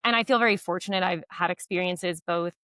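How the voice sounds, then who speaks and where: A woman with a low voice, a guest on a podcast interview, explaining fluently and often using fillers. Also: a little fast, a bit bright, dry recording.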